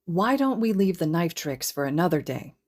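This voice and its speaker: A woman voicing a protective, laid-back teenage boy with a calm, understated manner in a story reading. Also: on the low side, moderate pace. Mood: calm, gentle.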